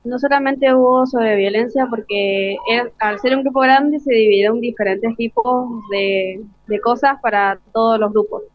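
A woman's voice: fairly high pitch, moderate pace, no room sound. A young Argentine woman, a student, giving informal explanatory answers in an interview. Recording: dry, slightly dull lo-fi broadcast.

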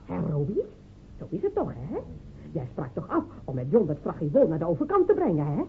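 A fierce village woman in a radio drama, needling and sharp-tongued. Her pitch leaps around as she sneers. The recording is thin, tinny and faintly noisy. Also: huge pitch swings, fairly quick. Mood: taunting accusation. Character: fierce, scornful village woman defending her dead man. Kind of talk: radio play acting.

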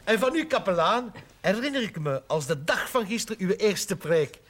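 An actor playing a deferential, chatty village constable in a television drama. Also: male voice, sits high, animated, normal speed, a bit bright. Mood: eager, obliging. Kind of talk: period television drama acting.

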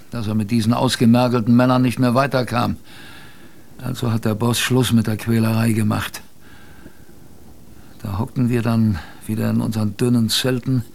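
A man's voice: mid-range voice, vocal fry, darkish tone. A man playing a plain-spoken, wry sailor who tells his expedition tale chattily, straight to the listener, in a radio drama. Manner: chatty, addresses the listener directly. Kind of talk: radio drama first-person narration, colloquial. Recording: faint background ambience.

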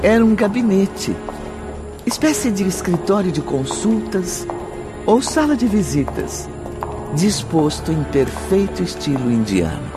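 A man narrating a scripted radio drama in a dramatic, measured manner. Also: high voice, very animated. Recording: music behind the voice.

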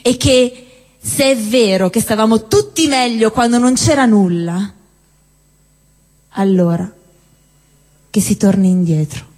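A woman performing a theatrical spoken-word monologue on stage, declaiming with deliberate phrasing and dramatic pauses. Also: on the high side, darkish tone. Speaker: stage performer at a live show. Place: indoor cinema-theatre venue with long reverb. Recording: reverberant hall, live stage performance.

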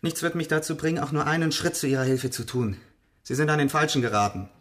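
An actor playing a stern, indignant gentleman scientist in a radio drama, with a curt, cold and formal manner. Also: a little high, quick pace, close to an everyday voice. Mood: cold refusal.

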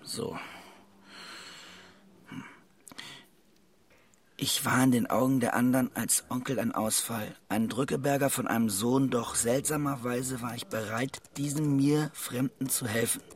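An actor in a radio drama playing a self-doubting, evasive middle-aged brother, husky and wordy with wry self-mockery. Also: male voice, medium pitch, fairly even intonation, unhurried, pauses often, lightly acted. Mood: musing, wry. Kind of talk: radio drama acting, dialogue and inner monologue.

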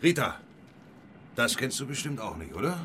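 A man's voice: medium pitch, very animated, normal speed, noisy background, natural voice. A man playing a firm police detective in a naturalistic radio drama, questioning insistently and giving orders. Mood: probing. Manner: probing, commanding.

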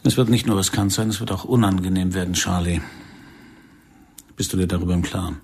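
An actor in a radio drama playing a controlled, brooding secret-service handler who speaks in a measured, grave way. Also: male voice, sits low, steady tone, creaky, a little mellow, breathy edge, full character voice. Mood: grave, cautioning.

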